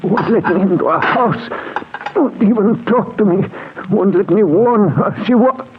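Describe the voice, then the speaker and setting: An actor playing a small, gray-haired mystic, earnest and pleading, in a dramatic 1940s radio play. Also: male voice, high voice, expressive, measured pace. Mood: desperate, hurried. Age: older. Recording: narrow, noisy 1940s radio recording.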